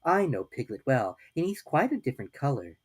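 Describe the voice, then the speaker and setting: A kindly, calm young boy from a storybook read by a woman, in a low register for a female voice. He speaks in a measured, matter-of-fact way with gentle playfulness. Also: breathy edge, exaggerated voice. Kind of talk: children's storybook character voice.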